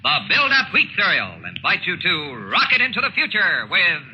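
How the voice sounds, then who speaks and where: Fifties space serial announcer, really high pitched, rousing, super noisy old recording. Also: male voice, very animated, normal speed. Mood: urgent excitement. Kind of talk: 1950s radio adventure intro. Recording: very noisy old radio broadcast.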